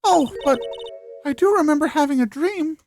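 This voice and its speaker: A woman's voice: sits high, animated, normal speed, music in the background, pitched above the performer's own voice. A woman voicing a distraught, guilt-ridden teenage girl, a fragile spirit medium in a video game, earnest and emotional. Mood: shaken, recounting.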